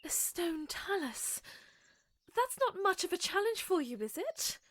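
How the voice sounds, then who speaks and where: A woman playing a gentle, caring young princess in an audio drama, speaking softly and hesitantly over music. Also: very high voice, very animated, no room sound, full character voice. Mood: mildly curious. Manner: soft, hesitant, halting.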